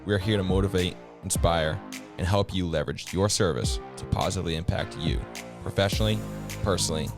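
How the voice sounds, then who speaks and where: A man's voice: low-pitched, a little fast. A man reading a scripted podcast introduction as an announcer. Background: music.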